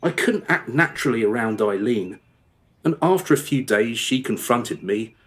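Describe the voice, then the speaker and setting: Actor playing a remorseful father, animated rambling storyteller. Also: mid-range voice, moderate pace, lightly acted. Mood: rueful. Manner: rambling, over-descriptive, hesitant reminiscing. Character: remorseful married father confessing past affair to his daughter. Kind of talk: radio play dialogue, storytelling monologue.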